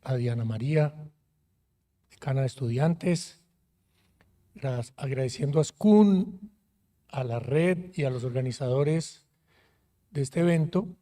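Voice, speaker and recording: A man from Colombia, a university rector speaking on a conference panel in a friendly, measured way with frequent hesitations. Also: medium pitch, dark tone. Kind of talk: panel talk. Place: conference auditorium.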